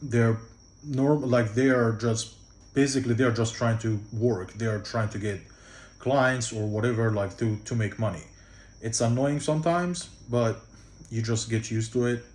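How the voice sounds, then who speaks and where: A man's voice: mid-range voice, unhurried, a little mellow, roomy sound. A man from Egypt with an Egyptian accent, giving casual travel tips to the camera in a loose, rambling way full of fillers and restarts. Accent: Egyptian Arabic. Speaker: travel vlogger.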